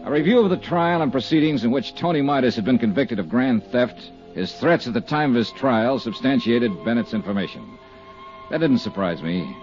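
A man's voice: mid-range voice, lively intonation, moderate pace, darkish tone, soft background music, close to an everyday voice. An actor playing a hard-boiled male insurance investigator in a 1950s radio drama, narrating in clipped, dry first person. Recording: dull lo-fi old radio broadcast.

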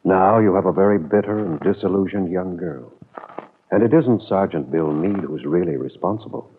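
A man's voice: low voice, moderate pace. A man giving solemn, scripted narration for an old-time radio soap opera. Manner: solemn, addresses the character directly. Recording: tinny, noisy vintage radio recording.

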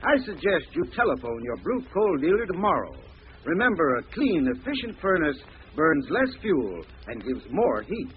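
Tinny vintage radio spokesman, animated delivery. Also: fairly high pitch. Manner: formal, measured, instructive. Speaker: advertising spokesman, heating expert. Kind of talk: 1940s radio sponsor pitch. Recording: tinny, noisy old radio recording.

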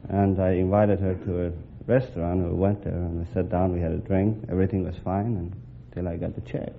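A man's voice: low-pitched, flat delivery, fairly quick, gravelly. A man taking part as a quiz contestant, chatting casually and answering the host. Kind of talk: casual anecdote and answers in interview. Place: broadcast studio. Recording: tinny, noisy old radio broadcast.

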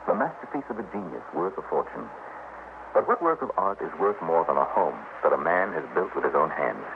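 A man's voice: deep voice, very animated, a little fast, narrow, tinny audio. A male announcer introducing an old radio drama in solemn, dramatic scripted narration. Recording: noisy, phone-thin old radio recording.

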